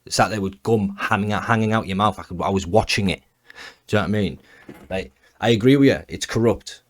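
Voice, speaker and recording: A man's voice: lowish voice, a little fast. A man with an English accent delivering a blunt, argumentative commentary rant, swearing freely. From England. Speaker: commentary channel host. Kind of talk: ranting commentary.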